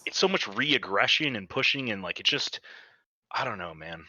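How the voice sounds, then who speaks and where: A man's voice: on the low side, expressive, normal speed, pushed and bright, no echo, rough recording. A man co-hosting a podcast in casual chat, rambling and often trailing off. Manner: rambling, trails off into "I don't know". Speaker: podcast co-host.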